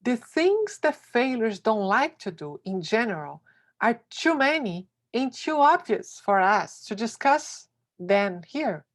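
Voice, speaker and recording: A woman reading a motivational essay aloud, expressive and emphatic. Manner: slow, emphatic, with wide swings of pitch. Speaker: reader of a success essay.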